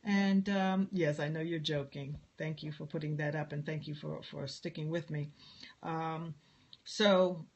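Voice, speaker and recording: A speaker from New York and New Jersey with a New York area accent, a spiritual healer giving a meandering, gentle live-stream talk to viewers and laughing often. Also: medium pitch, fairly even intonation, a little slow, muffled recording. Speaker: spiritual healer and Reiki teacher.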